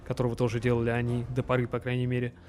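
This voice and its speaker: A young man co-hosting a podcast, chatting casually with lots of fillers and quick restarts. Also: medium pitch, completely flat delivery, darkish tone, faint music behind. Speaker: podcast co-host. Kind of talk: casual chat.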